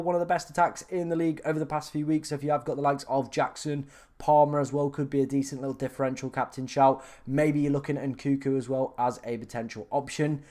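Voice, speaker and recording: Young english guy on a live stream, chatty, lots of likes and ums, mid pitch. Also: darkish tone. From England. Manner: chatty, filler-heavy, restarts, reads viewer questions aloud. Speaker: fantasy football streamer answering live chat. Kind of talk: casual live-stream chat.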